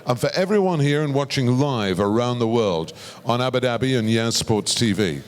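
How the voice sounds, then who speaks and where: A man's voice: mid-range voice, lively intonation, normal speed. A man moderating a panel, posing questions and introducing speakers in a polished, formal way. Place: large conference hall. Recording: reverberant conference hall.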